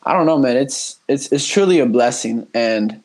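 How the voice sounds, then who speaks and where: This is a young man in his twenties, raised in Honduras, who talks as a guest on a podcast. He speaks in a loose, earnest way and keeps restarting his sentences with "um" and "like". His voice sits at an everyday middle pitch for a man. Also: moderate pace. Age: 20s. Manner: earnest, relaxed, many fillers and restarts. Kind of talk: casual conversational interview.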